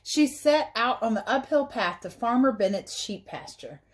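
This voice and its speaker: A woman reading a story aloud as a teacher, pausing to explain things simply to young listeners. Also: on the high side, animated. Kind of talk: read-aloud storytelling with explanatory asides for children.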